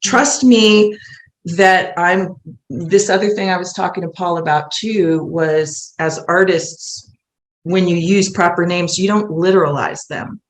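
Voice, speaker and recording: A woman's voice: lowish voice, measured pace. A middle-aged American woman talking candidly and animatedly as a guest in a casual interview. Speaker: guest, playwright. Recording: roomy echo.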